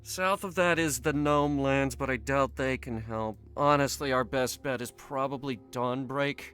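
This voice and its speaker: A man's voice: mid-range voice, a little slow, fairly bright tone, clear, resonant tone. A man in a tabletop roleplaying game, casually improvising and explaining the world to the other players. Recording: music behind the voice. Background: music.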